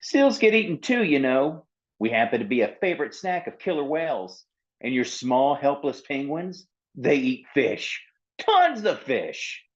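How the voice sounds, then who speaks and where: Guy doing a sheepish defensive seal from a kids book, swoopy pitched-up voice, kinda muffled audio. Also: sing-song, measured pace. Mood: indignant. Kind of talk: animated picture book read-aloud.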